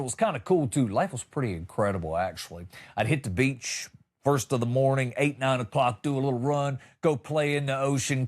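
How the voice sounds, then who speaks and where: A middle-aged man hosting a comedy podcast, telling stories in a folksy, colloquial and punchy way with comic exasperation. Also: mid-range voice. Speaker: comedy podcast host and former radio entertainer. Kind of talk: comedic storytelling monologue.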